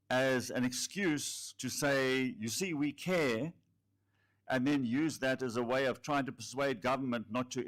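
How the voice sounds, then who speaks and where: A man commenting from the floor at a conference in a deliberate, explanatory way. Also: mid-range voice. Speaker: summit delegate asking a question from the floor.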